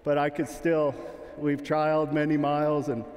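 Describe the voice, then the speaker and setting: A man's voice: on the high side, completely flat delivery, normal speed, a little mellow. A middle-aged man giving a casual, joking sheepdog training demonstration in a large indoor arena. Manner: casual, joking, calls commands to his dog. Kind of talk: live demonstration talk. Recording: echoing arena, long reverb.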